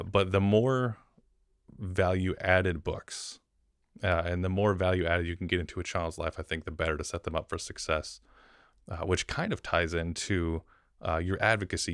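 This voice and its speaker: A man's voice: low voice, no echo, studio quality. An American man co-hosting a conversational podcast interview. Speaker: podcast co-host.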